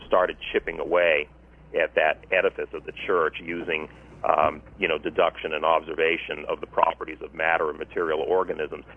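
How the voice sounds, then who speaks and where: A man's voice: low voice, breathy edge. A male author being interviewed on radio, speaking in thoughtful, discursive sentences with frequent restarts and fillers. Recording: tinny phone line.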